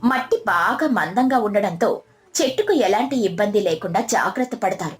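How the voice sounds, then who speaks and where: A woman's voice: mid-range voice, animated, moderate pace, soft background music. A woman giving scripted narration of a moral story as its narrator.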